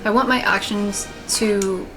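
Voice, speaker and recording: A young woman with a monotone voice, talking in a candid, rambling way while playing a video game. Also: medium pitch, slow, rough recording. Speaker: let's play gamer. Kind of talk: casual commentary while gaming. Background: game music.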